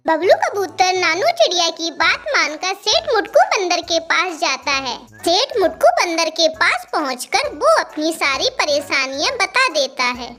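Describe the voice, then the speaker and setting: A woman's voice: very high-pitched, sing-song, measured pace, hardly any pauses, bright, forceful tone, soft background music. A woman narrator reading a scripted children's cartoon story.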